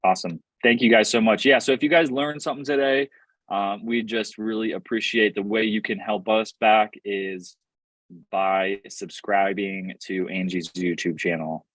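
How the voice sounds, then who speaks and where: A man's voice: on the low side, fairly even intonation, normal speed, fairly bright tone, dry recording. A man hosting a live real estate workshop on a video call, upbeat and hyping up his audience. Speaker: real estate investing host.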